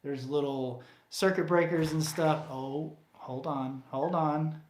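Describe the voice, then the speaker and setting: A chatty man answers viewer questions in a loose, rambling way, full of fillers and restarts. His voice sits a bit high for a man and sounds worn from talking. The room gives it a roomy echo. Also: fairly even intonation, slow pace, darkish tone, slight background noise. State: voice getting tired. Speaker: co-host of a livestream Q&A. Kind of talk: casual livestream Q&A.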